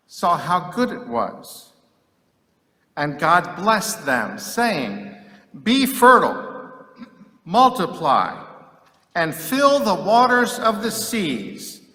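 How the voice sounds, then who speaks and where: A man's fairly high, bright, forceful voice rings out through a hall with long reverb. He reads scripture in a solemn, dramatic style, declaiming each phrase deliberately and leaving long pauses between them. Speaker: scripture reader.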